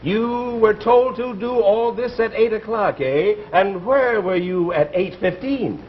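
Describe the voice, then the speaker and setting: An actor playing a suspicious, scatterbrained police sergeant in a 1950s radio comedy, halting and interrogating. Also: male voice, high voice. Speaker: comic actor in a radio show. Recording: muffled old radio recording, noisy studio audience. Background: audience and clear noise.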